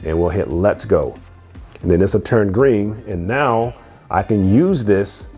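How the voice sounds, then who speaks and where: A man's voice: lowish voice, unhurried, dark tone, soft background music. A man giving a casual, conversational product review as host, explaining things step by step and repeating phrases as he goes.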